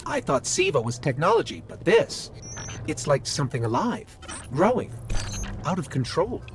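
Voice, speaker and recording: High-voiced, wry little robot companion. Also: male voice, big pitch swings, moderate pace, background noise. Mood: wry, analytical. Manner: wry, inquisitive, explanatory. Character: small male robot companion, bright, wry, curious analyst. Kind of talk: video game voice acting. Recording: loud music over the voice.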